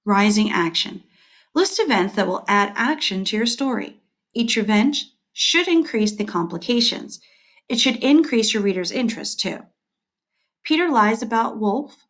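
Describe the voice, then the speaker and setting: Higher-pitched woman reading a lesson aloud. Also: lively intonation, moderate pace, fairly bright tone. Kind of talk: scripted instructional read-aloud.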